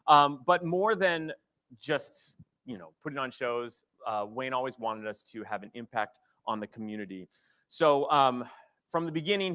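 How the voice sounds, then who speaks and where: A man's voice: medium pitch, pushed and bright. An American man hosting a live theater celebration, presenting partly from a script in an informal, bantering way. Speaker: host of a theater's season celebration. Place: live theater stage before an audience.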